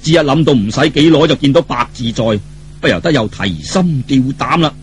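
A man's voice: mid-range voice, animated, a little fast, slight background noise. A man with a Cantonese accent narrating a martial arts tale in a colloquial, dramatic traditional storytelling style, with asides to the listener. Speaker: audiobook storyteller.

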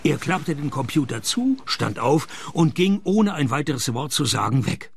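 A man playing a laconic, deadpan private detective who narrates and questions in a radio crime play, with a gravelly voice. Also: fairly high pitch, unhurried, slight background noise, heavily acted. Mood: deadpan narration. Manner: deadpan, dryly ironic. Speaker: lead actor and first-person narrator. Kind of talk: German-language radio crime play, dry noir narration.

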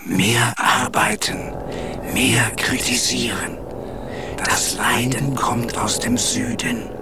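Very slow, deadpan radio-play reciter. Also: male voice, medium pitch, whispered. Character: deadpan reciter of aphorisms and silly rhymes. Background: loud music.

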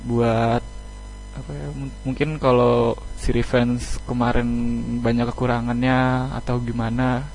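A man talks casually as a guest band member, in a humble, colloquial way. His medium-pitched voice stays fairly level, over faint music and a low electrical hum. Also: little pitch movement, unhurried, a little mellow, rough recording. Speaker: band member being interviewed. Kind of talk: casual radio interview chat.

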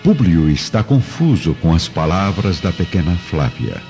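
Deep brazilian guy narrating a radio drama, swoops around a lot, music clearly behind him. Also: sing-song, measured pace. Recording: dull lo-fi audio under clear music.

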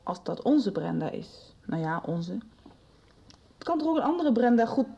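A woman's voice: a little high, very animated, moderate pace, vocal fry, warm tone, husky, lo-fi sound, exaggerated voice. A young woman playing an impatient, agitated daughter in a radio soap, pressing with insistent questions. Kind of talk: radio drama acting.

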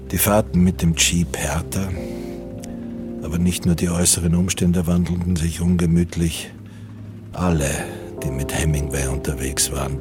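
A man reading scripted literary narration for a radio drama. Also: very deep voice. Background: music.

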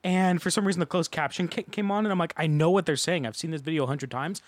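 A man's voice: on the high side, quick pace, no echo. A man co-hosting a podcast, telling things bluntly in a casual group chat. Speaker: podcast co-host.

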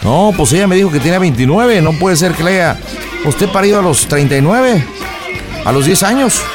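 A Mexican man hosts prank calls with slangy, improvised comedy. His fairly high voice swoops around in a very animated way. He teases and mocks as music plays clearly behind him. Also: a little fast. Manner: mocking, teasing, slangy. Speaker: prank call show host. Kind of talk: comic improvised banter.

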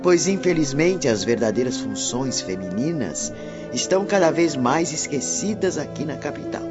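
A woman plays a prim old Roman grandmother in a radio drama. She preaches solemnly with wide pitch movement, over music and dull sound. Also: animated, normal speed. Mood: solemn advice. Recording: dull lo-fi audio, music behind.

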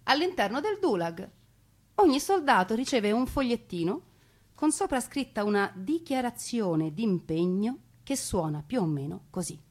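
A woman giving a theatrical scripted narration as a stage storyteller, with dramatic, deliberate phrasing. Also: on the high side, big pitch swings, darkish tone.

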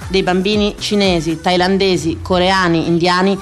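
A woman performs a dramatic scripted radio monologue, deliberate and repeating phrases for emphasis. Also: lowish voice, steady tone. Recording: music behind the voice. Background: music.

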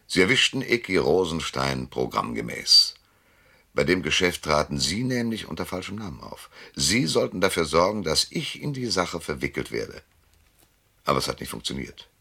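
An actor playing a cynical private detective in a German radio crime drama, narrating and talking in a dry, laconic, sardonic way. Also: male voice, sits low, sing-song, moderate pace, creaky, bright, forceful tone, breathy edge, dry recording, heavily acted. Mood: cool, menacing. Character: hardboiled, cynical male private detective, narrator of his case.

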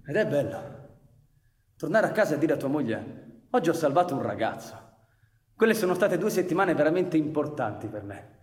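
A man's voice: a little high, big pitch swings, moderate pace, pauses often, recorded from a distance. An actor playing an earnest working-class factory man and father, telling of hardship in a dramatic theatrical radio monologue. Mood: subdued, grave. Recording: echoey hall with live music behind.

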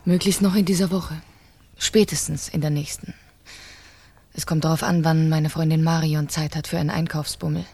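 Cold, commanding scheming wife, low voice. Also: female voice, flat delivery, normal speed, a little mellow, breathy edge. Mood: coolly calculating. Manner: commanding, precise. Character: cold, calculating French wife plotting her husband's murder. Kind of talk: 1970s German radio crime drama acting.

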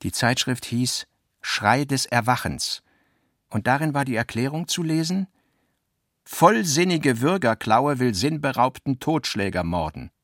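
A measured, articulate male narrator for a literary radio play. His mid-pitched voice shifts into quoted dialogue, in a very dry studio recording. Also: animated, fairly bright tone, no room sound. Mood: ironic, declaiming. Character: literary male narrator recounting and quoting his guest's talk.

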